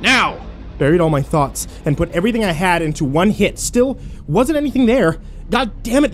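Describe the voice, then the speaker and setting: A man playing an overwhelmed, foul-mouthed young man who narrates his ordeal haltingly in a horror audio drama. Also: high voice. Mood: frustrated, frantic. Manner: halting, self-interrupting, swearing, incredulous.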